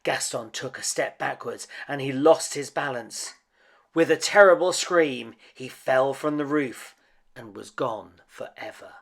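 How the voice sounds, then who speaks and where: A man's voice: mid-range voice, measured pace, gravelly, fairly bright tone. A man reading a children's picture book aloud as a dramatic storyteller. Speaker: storybook reader.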